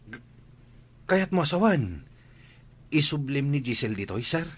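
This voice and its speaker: A man's voice: mid-range voice, sing-song, unhurried, slightly rough. An actor playing a flustered, deferential male household driver who stammers, with a Filipino accent, in radio comedy-drama style. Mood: worried.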